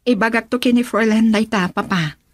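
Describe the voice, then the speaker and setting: Wistful, tender young wife female actor for radio drama: slightly high, husky, close dry recording. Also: a little fast, no room sound, close to an everyday voice. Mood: pleading. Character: loving, wistful young wife, hurt by her father. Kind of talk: Ilocano radio drama acting.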